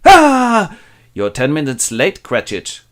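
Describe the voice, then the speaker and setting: A frantic old miser from a comic storybook, acted out by a male narrator. He exclaims theatrically in a high, wildly swooping voice. Every line comes out excitable and overblown. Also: moderate pace. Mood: gleeful.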